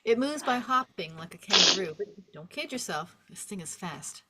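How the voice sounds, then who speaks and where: A woman narrating the stage directions at a script table read in an expressive storytelling manner. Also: medium pitch, normal speed. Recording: faint background noise and clicks.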